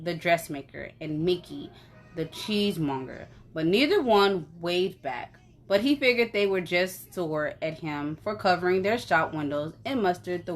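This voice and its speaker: A woman reading a story aloud in an animated, conversational way, as if for children. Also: fairly low pitch. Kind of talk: expressive children's story narration.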